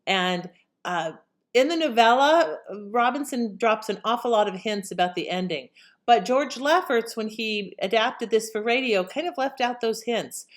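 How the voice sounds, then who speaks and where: A woman's voice: a little high, animated, normal speed. A woman casually introducing an old radio show as its host.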